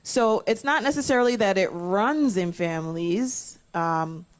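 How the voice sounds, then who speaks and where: A woman with a medium-pitched voice speaks as an interviewer in a conversational health discussion. She is reflective, thinking her points through aloud one step at a time. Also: animated, measured pace.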